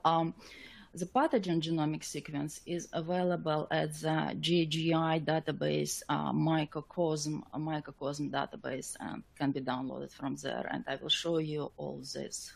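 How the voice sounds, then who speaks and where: A woman scientist presenting a technical slide lecture in a webinar, with a halting, hesitant delivery. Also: low-pitched, gravelly.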